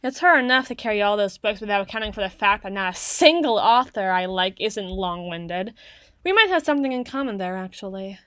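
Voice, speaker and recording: A woman playing an awkward, bookish tsundere young woman in an ASMR roleplay, speaking haltingly and wordily to the listener. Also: medium pitch, lively intonation, fairly bright tone, no echo, lightly acted. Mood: chatty, self-conscious. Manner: stammering, halting, wordy and bookish in phrasing.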